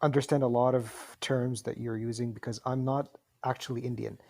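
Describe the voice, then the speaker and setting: Mellow, hesitant man, native Arabic speaker. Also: mid-range voice, steady tone, a little fast, gravelly. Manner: hesitant, polite, stammering over repeated words. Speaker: discussion participant in an audio chatroom. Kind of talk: casual debate.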